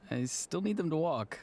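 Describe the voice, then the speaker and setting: Earnest, wry young game hero. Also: male voice, on the high side, lively intonation, slow, a little mellow, slight background noise. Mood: sheepish pleading. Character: contrite, earnest young grandson, wry and polite. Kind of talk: video game voice acting.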